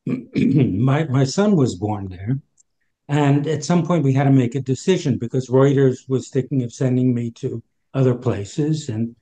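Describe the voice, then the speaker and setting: A man co-hosting an interview, sharing his own experience in a relaxed way. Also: medium pitch, a little slow, poor audio. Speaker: co-host. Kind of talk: conversational interview.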